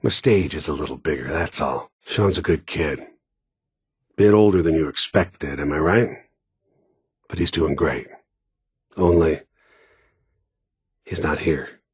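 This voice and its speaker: A man voicing a cold, world-weary mercenary killer in a video game, gravelly and husky, with a sardonic, deliberate, taunting manner. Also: extremely low pitch, dry recording, studio quality, heavily acted. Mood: mocking, calm.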